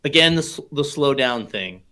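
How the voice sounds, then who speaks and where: Bright-voiced inquisitive man co-hosting. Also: medium pitch, expressive, moderate pace. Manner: inquisitive, talks through ideas aloud. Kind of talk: casual livestream discussion.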